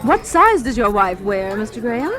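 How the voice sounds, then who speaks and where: High-voiced, polite radio-drama shop assistant. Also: female voice, big pitch swings, moderate pace. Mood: helpful, polite. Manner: polite sales patter. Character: polite, helpful dress-shop saleswoman. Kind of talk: 1940s radio drama acting. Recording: old radio recording with music behind.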